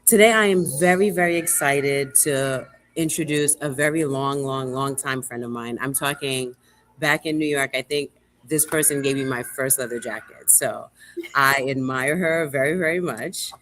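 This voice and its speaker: A woman hosting a relaxed live interview, speaking emphatically and repeating words for stress. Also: rumbling low, slight background noise. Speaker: live show host and museum board president. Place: remote video call livestream.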